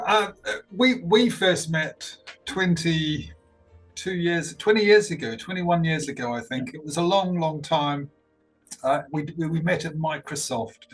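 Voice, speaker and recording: A man's voice: a little high, rough recording. A man hosting an interview podcast, asking questions with lots of hesitations and fillers. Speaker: podcast host.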